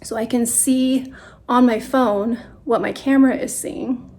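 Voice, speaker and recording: Higher-pitched woman, casual vlog chat. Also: fairly even intonation, unhurried, mellow. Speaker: photographer making self-portraits. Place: dining room at home.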